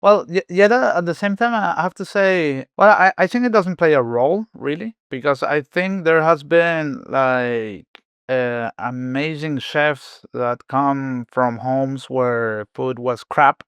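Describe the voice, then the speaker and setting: A man's voice: a little high, slow pace, a bit bright, smooth, dry recording. A man who grew up in Venezuela, a chef speaking as a podcast guest, thoughtful and full of restarts and fillers. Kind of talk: podcast interview.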